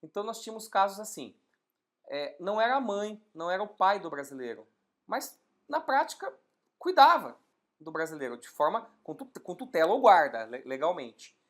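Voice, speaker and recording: A woman gives an exam-prep law lesson in a somewhat low voice. She walks through each rule step by step, firing rhetorical questions and answering them herself. Her delivery is lively, with sudden bursts of emphasis. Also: normal speed. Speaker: law instructor. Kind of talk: exam-prep legal lecture.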